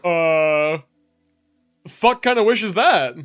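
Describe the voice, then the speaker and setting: A young man chats casually on a game stream in an irreverent, sweary way. His pitch moves around a lot, and game music plays behind him. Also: expressive, slow pace, fairly bright tone, smooth. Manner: irreverent, swearing, reading and answering chat. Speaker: livestreamer.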